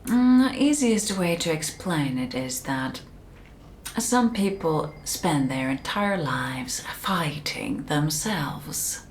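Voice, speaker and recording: ASMR roleplay: actress as calm, controlling female conditioning specialist. Low, soft, whispery voice, soothing clinical manner, faint noise behind. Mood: measured, knowing. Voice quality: soft, breathy, often whispering. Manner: slow, deliberate, soothing, clinical.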